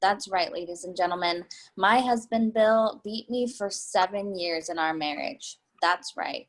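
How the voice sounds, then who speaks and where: A woman's voice: lowish voice, measured pace, low-quality recording. A woman playing a middle-aged homeless beggar in a play reading, pleading in a sympathetic but rehearsed way.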